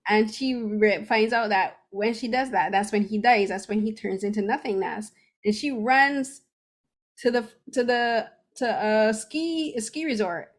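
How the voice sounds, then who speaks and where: A woman from Barbados, hosting a casual podcast chat and talking in an animated, rambling way full of fillers and restarts. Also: fairly high pitch, measured pace. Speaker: podcast host. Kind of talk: casual chat about a TV drama.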